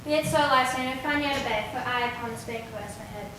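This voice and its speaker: A woman's voice: on the high side, fast, lightly acted. A woman playing a modest, affectionate young maiden in a Shakespearean verse play on stage. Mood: gentle, coy. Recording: very echoey distant hall, clear background noise.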